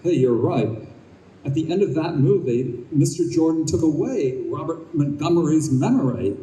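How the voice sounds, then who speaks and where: A man's voice: fairly high pitch, animated, normal speed, mellow, lightly acted. An actor playing an anxious, indignant father awaiting rebirth, in an earnest, exclaiming old-time radio style. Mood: wistful musing. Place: live performance in a convention room. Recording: roomy, distant live stage recording, faint noise.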